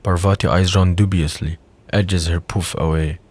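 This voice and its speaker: Deep-voiced male audio drama narrator. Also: fairly even intonation, normal speed, a little mellow, slightly rough. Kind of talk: scripted audio drama narration, reading stage directions.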